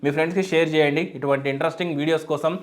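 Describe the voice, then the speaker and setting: Very fast-talking man, higher-pitched voice. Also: fairly even intonation. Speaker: YouTube tech reviewer and host. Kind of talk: product unboxing and review narration.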